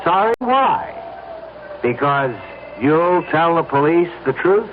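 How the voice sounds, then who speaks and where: A man's voice: a little high, big pitch swings, takes it slowly. An actor in an old-time radio drama playing a scheming male conspirator, taunting, insistent and coolly persuasive. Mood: challenging. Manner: needling, insistent. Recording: tinny, faintly noisy old radio broadcast.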